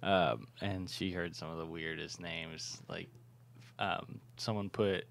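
A man in his thirties co-hosting a podcast in a small studio on a boom mic, joking and laughing in relaxed chat. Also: takes it slowly, creaky. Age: 30s. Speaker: podcast co-host, brewery owner. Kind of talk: casual chat. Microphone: large studio mic on boom arm.

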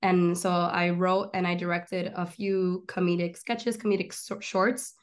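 A young woman in her twenties, born in Miami and raised in Argentina, telling her story as an interview guest. She talks in quick, lively run-on stretches, like someone gesturing as she goes. The sound comes through a laptop webcam on a video call from a small room at home. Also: fairly low pitch. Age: 20s. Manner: animated, gestures a lot, rapid run-on storytelling. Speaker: guest, comedian and filmmaker.

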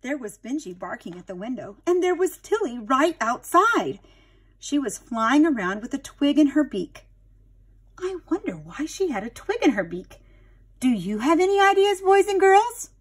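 A woman reading a picture book aloud to young children in an expressive teacher's manner. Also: high voice, moderate pace. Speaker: storybook reader, teacher.

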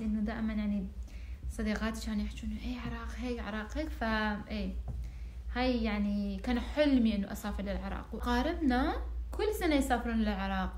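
A woman's voice: on the high side, a little hiss or hum. Chatty young woman in an echoey room. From Iran. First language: Persian. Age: 20s. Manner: chatty, animated hand gestures. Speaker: vlogger. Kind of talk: casual vlog chat to camera. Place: small room indoors. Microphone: phone camera at arm's length.

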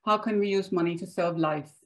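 A woman's voice: lowish voice, a little fast. A middle-aged woman from India with an Indian accent, speaking informally as a co-presenter on a video call. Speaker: financial advisor, webinar co-presenter. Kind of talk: informal spoken self-introduction to a group. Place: home room with bookshelves, indoors.

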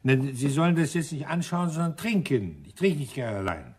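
An actor playing a grumpy, gloomy middle-aged police inspector in a radio crime drama, grumbling and blustering. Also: male voice, medium pitch, sing-song, normal speed, darkish tone, slight background noise, close to an everyday voice. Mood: gruff, agitated. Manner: grumbling, blustering, self-deprecating.

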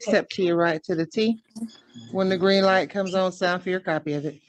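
A man's voice: high-pitched, steady tone, normal speed, muffled recording. A man working as a court deputy, giving procedural instructions and reading out records. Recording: slightly noisy court video link.

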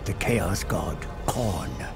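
An actor playing an old, cunning wandering seer, telling his tale gravely and dramatically in a game cinematic. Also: sits low, big pitch swings, very slow, music in the background, exaggerated voice. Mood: ominous storytelling. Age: older.

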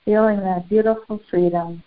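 A woman leading a guided spiritual meditation in solemn, formal, deliberate phrases with long pauses. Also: mid-range voice, steady tone. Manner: solemn, formal, ceremonial wording. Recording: muffled, phone-like narrow band.

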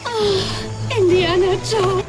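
A woman's voice: very high-pitched, fairly even intonation, takes it slowly, over loud music, poor audio. A woman acting as the imperilled heroine of an adventure film, in a melodramatic style. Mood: alarmed, breathless.

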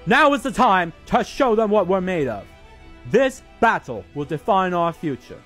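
Rousing earnest hero, higher male register, dramatic, acted by a man. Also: very animated, moderate pace, fairly bright tone, faint music behind, full character voice. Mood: rallying. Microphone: close handheld microphone.

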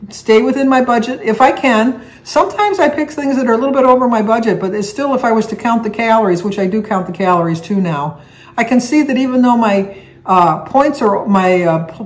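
A woman's voice: medium pitch, low-quality recording. Older woman vlogger, chatty home-video voice, seated at table camera. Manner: chatty, rambling with restarts and fillers. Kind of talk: casual chat. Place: living room at home, indoors. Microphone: camera on a table, seated facing it.

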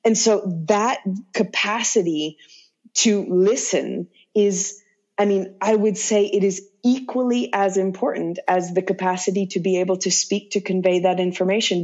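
A woman guest on a podcast talking about communication, lively, often laughing and acting out little quoted phrases. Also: medium pitch, a little slow, husky, muffled recording. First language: English. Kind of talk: conversational interview.

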